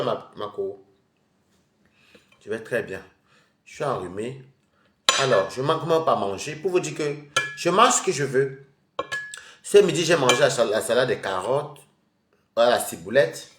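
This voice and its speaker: A man's voice: medium pitch, echoey room. A man with a head cold, chatting casually with viewers on a live stream from home while he eats. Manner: chatty and rambling, addressing viewers directly.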